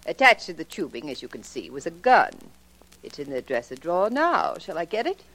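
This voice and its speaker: A woman's voice: sits low, sing-song, a little fast, a bit bright, full character voice, rough recording. An actress playing a cold, scornful wife in a 1940s radio crime drama, cutting and theatrical in her phrasing. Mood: cold defiance.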